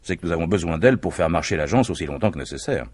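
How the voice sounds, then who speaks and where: An actor playing a self-assured, scheming husband in a vintage French radio mystery, speaking in persuasive, emphatic speeches with a husky voice. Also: male voice, deep voice, animated, rapid-fire, darkish tone. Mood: assured, cautioning.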